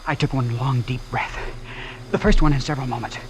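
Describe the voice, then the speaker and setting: An actor playing a young man caught in danger, in dramatic old radio style, sometimes narrating his ordeal in hushed suspense. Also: mid-range voice, fairly even intonation, brisk, close to an everyday voice. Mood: hushed, suspenseful. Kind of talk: 1940s radio drama acting, suspenseful first-person narration. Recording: noisy old radio broadcast.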